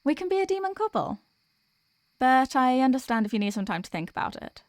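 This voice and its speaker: A woman's voice: high-pitched, very animated, brisk, no room sound. Woman acting a sweet, weary demoness girlfriend, close and dry. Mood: coaxing, hopeful. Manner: chatty, affectionate, intimate address to a partner. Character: sweet, weary demoness girlfriend venting about office work. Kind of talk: ASMR girlfriend roleplay.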